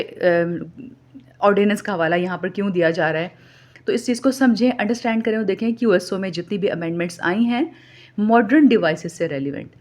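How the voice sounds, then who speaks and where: A woman with a Pakistani accent lecturing students methodically, repeating key points and mixing in English terms. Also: medium pitch. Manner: mixes English terms and read-out clauses into explanation. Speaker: law lecturer. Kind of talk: instructional lecture for exam students.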